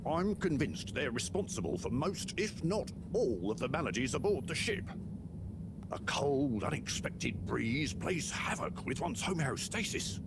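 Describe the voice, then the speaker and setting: Wordy fussy secretary type from a game, super bouncy pitch. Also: male voice, big pitch swings, unhurried, very noisy. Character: fussy male secretary who fears draughts. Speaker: game character.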